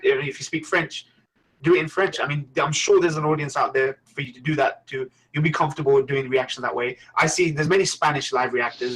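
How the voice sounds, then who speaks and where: A man's voice: on the high side, a bit bright, low-quality recording. Man hosting an anime podcast. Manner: encouraging, asks each guest in turn. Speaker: podcast host. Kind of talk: casual podcast chat.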